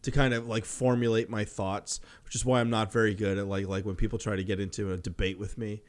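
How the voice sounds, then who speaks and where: A man co-hosting a podcast, chatting casually in an informal, slightly halting way. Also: fairly low pitch, flat delivery. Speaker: podcast co-host. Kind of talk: casual conversational podcast chat.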